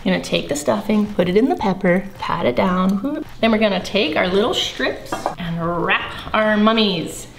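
A woman's voice: medium pitch, expressive, moderate pace, vocal fry, few pauses, roomy sound. A young woman hosting a casual cooking video, chatting and explaining each step as she cooks in a large home kitchen. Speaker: host.

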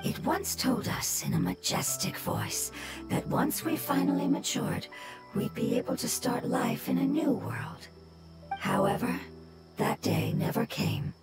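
A woman voicing a wise mushroom spirit in a video game, with formal, precise wording. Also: on the high side.

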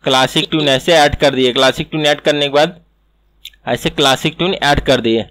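A man's voice: fairly high pitch, steady tone, brisk, fairly bright tone. A man teaching animation in a screen-recorded tutorial, explaining step by step and repeating himself.

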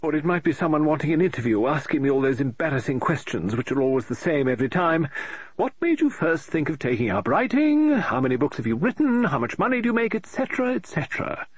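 A flustered middle-aged woman novelist, put on by a male audiobook reader, frets aloud in a high, swooping voice. Her talk darts between exclamations and scattered asides. The breathy, dry storybook recording keeps her close. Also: sing-song, fast, no room sound. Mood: fretful, scattered. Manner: fretful, exclaiming, muttering to herself. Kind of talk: audiobook character voice.

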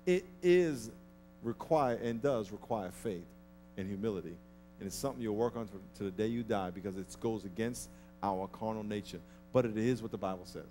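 A man in his thirties preaching a sermon on a large church stage into a headset mic, lively and acting out people's grudges. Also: on the low side, fairly quick, soft background music. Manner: animated, acts out petty grudges in a mocking voice. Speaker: pastor.

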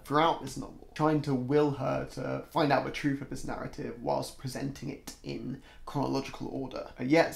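A man in his twenties hosts a book discussion in a casual but prepared style. His voice is slightly croaky, with very heavy vocal fry. He records indoors at home, a little way from the camera, and the untreated room adds a clear echo. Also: mid-range voice. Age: 20s. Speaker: book reviewer, video host.

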